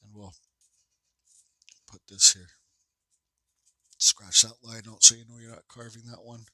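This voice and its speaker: Stuffed-up man teaching woodcarving, bright voice. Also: medium pitch, little pitch movement, a little slow, no echo, poor audio. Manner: rambling, friendly, with many fillers and restarts. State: stuffed up, congested. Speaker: woodcarving instructor. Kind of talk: casual how-to narration. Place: home workshop bench.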